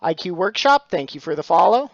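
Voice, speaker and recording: A man's voice: sits high, very animated, fairly quick, creaky, dry recording, dull audio. A middle-aged man, chatting casually on a live stream about his craft, full of dad jokes and thanks to followers. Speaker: stained glass streamer.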